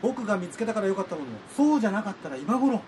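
An actor playing a gentle, mature surgeon in love, polite and earnest, husky-voiced, in a romantic radio drama. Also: male voice, sits high, sing-song, rapid-fire, a little hiss or hum. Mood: indignant.

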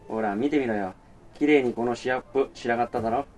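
A man's voice: mid-range voice, quick pace, close to an everyday voice. A man playing a brash, pushy rich heir in a radio romance, blunt, cocky and teasing. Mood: cocky, familiar. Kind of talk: radio drama dialogue.

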